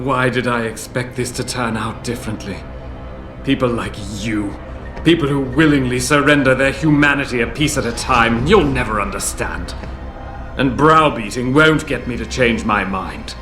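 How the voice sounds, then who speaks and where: Brief: an actor as a guilt-torn older inventor, measured and grave, mid-pitched, roomy game mix with music. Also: male voice, close to an everyday voice. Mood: scornful. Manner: measured, grave, erupts into anguished shouting. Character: aging male tech visionary, guilt-torn. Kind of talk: video game dialogue.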